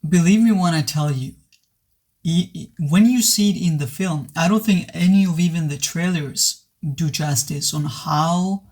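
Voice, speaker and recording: A man giving a casual film review to camera, his voice worn and hoarse after being ill, talking earnestly with many halting restarts. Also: a little high, darkish tone. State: voice worn from recent illness. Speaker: movie reviewer.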